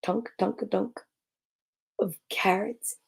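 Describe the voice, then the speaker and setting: A woman reading a children's picture book aloud in a theatrical, suspenseful, drawn-out way. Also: medium pitch, steady tone, vocal fry, slight background noise. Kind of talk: dramatic children's story read-aloud.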